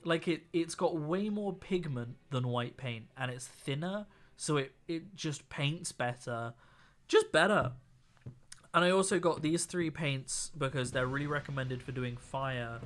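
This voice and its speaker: Tired, slightly higher-voiced young man. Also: measured pace, fairly bright tone. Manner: rambling, chatty, restarts sentences. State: voice worn from talking all week. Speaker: streamer painting miniatures. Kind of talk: casual live-stream chat.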